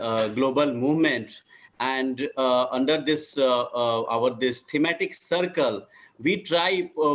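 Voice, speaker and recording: Indian guy, health activist, lots of uh uh, voice a bit high. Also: fairly bright tone, rough recording. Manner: halting, many repeated fillers. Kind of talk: recorded video statement.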